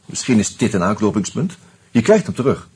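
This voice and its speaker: An actor playing an easygoing amateur sleuth who talks hesitantly and narrates wryly in a Dutch radio drama. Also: male voice, on the low side, very animated, fairly quick, darkish tone, husky, full character voice. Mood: casual, probing. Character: Hans, easygoing amateur sleuth and wry first-person narrator.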